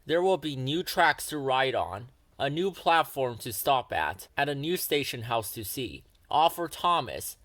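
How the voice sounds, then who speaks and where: A man narrating a children's storybook in an expressive read-aloud style. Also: medium pitch, measured pace, few pauses, no room sound. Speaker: storybook reader.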